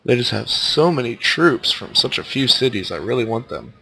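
A man with a stuffed-up, nasal voice giving relaxed, casual commentary while playing a strategy game. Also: mid-range voice, moderate pace, creaky, husky, rough recording. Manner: laid-back, thinking aloud as he plays. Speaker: let's play commentator.

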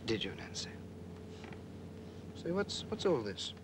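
An actor playing a cocky, boozy, reckless army captain in a 1940s British film. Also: male voice, medium pitch, big pitch swings, a little slow. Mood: smooth, flirtatious. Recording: noisy old film soundtrack, car engine.

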